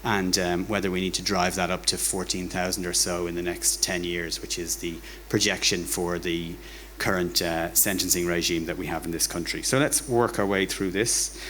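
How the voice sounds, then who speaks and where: A man's voice: sits low, level tone, normal speed, a bit bright, slight background noise. An Irish-accented man giving an informal public lecture in a conversational, wry way, often repeating words as he goes. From Ireland. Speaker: academic giving a public talk. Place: bar hosting a talk night.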